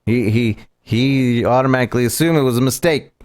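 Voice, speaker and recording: A man's voice: medium pitch, fairly quick, darkish tone, no echo. A man livestreaming a game with casual, off-the-cuff commentary.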